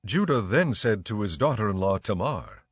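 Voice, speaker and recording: A man narrates scripture from a script in a measured, solemn way. His voice is fairly low, and the sound is dry and close. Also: sing-song, breathy edge, dry recording.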